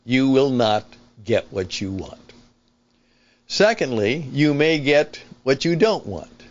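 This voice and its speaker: An older man hosting a talk show with a conversational opinion monologue, speaking in an emphatic, deliberate, explanatory way. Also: mid-range voice, expressive, dull audio. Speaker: podcast host and veteran broadcaster.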